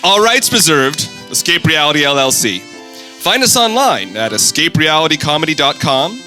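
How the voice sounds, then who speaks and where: Bright, animated male announcer over guitar. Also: fairly high pitch, moderate pace. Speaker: announcer reading show credits. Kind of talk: scripted credits announcement. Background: plucked guitar music.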